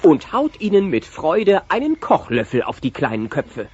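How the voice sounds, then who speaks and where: A man's voice: on the high side, huge pitch swings, normal speed, a little mellow, breathy edge. A man narrating a radio play in a scripted storytelling style. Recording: muffled old cassette transfer. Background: music.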